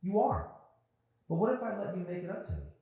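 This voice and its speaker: A man's voice: high-pitched, huge pitch swings, brisk, gravelly, dark tone, dull audio, pitched above the performer's own voice, exaggerated voice. A man voicing a teasing, pushy teenage girl while reading a novel aloud. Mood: playful, insistent. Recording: very echoey room.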